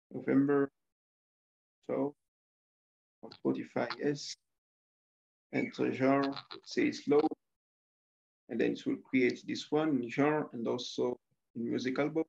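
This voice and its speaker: A man's voice: mid-range voice, gravelly, a little mellow. A young man presenting a student coding project over a video call, hesitant and full of filler sounds. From Rwanda. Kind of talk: screen-share software demo. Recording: slightly dull lo-fi audio.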